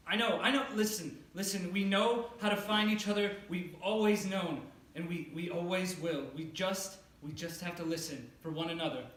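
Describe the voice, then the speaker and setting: An actor playing a nervous, lovestruck young office worker in a stage play, with a hesitant, stammering delivery. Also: male voice, high voice, normal speed, fairly bright tone. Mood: desperate, tender. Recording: roomy, distant mic, faint noise.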